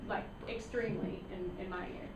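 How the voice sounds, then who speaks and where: A woman's voice: mid-range voice, normal speed, noisy background. Chatty young woman in a distant classroom. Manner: chatty, animated storytelling. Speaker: student. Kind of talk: classroom discussion. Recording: echoey classroom, mic far off.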